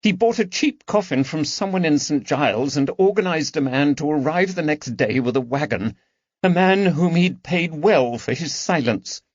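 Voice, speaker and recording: A man's voice: fairly high pitch, lively intonation, moderate pace, dry recording, dull audio, pitched above the performer's own voice. A man voicing a frightened, resentful young swindler in an audiobook, defensive and pleading. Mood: defensive, scared. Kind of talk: audiobook character dialogue.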